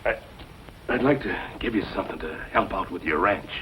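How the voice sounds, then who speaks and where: An actor playing a gunshot, dying outlaw in an old western film, speaking in a weak, halting way with weary remorse. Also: male voice, low-pitched, bright, forceful tone. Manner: halting, weak deathbed speech. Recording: noisy old film soundtrack.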